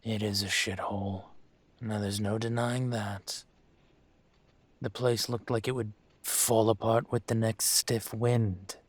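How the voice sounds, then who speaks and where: A man playing a dragon mafia boss in an audio roleplay, speaking with measured, condescending menace and smug control. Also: lowish voice, flat delivery, no room sound. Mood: stern, condescending. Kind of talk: scripted roleplay monologue to the listener.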